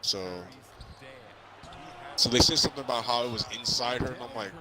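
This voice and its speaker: A man casually chatting through a movie review, haltingly, often repeating and restarting his phrases. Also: medium pitch, heavy background noise. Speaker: video host. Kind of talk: casual chat, movie review over a video game. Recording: basketball game crowd and bouncing behind. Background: basketball video game crowd and ball bounces.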